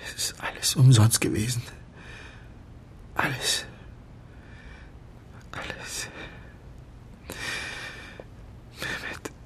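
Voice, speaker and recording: An actor playing a grieving doctor in a radio drama, confessing in a halting, subdued voice that often sinks toward a whisper. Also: male voice, mid-range voice, steady tone. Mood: grieving, broken. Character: grieving male doctor confessing to his friend's staged death. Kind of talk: German radio drama acting.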